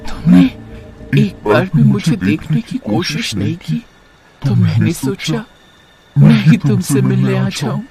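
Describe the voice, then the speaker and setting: A man's voice: medium pitch, very animated, slow pace, music in the background, voice pushed up. A man voicing a vengeful female ghost in a cartoon horror story, taunting and eerily innocent, with sweet menace. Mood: wounded, eerie.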